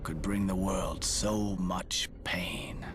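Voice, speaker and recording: An actor playing a silky, calmly menacing villain in a game cutscene, coaxing in a breathy, deliberate voice. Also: male voice, low voice, noisy background. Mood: coaxing menace. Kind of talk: dramatic video game cutscene acting.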